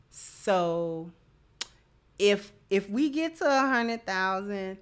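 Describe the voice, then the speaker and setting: A woman's voice: medium pitch, smooth. A woman hosting a livestream, chatting casually and giving commentary to her supporters. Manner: effusive in thanking supporters. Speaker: livestream host.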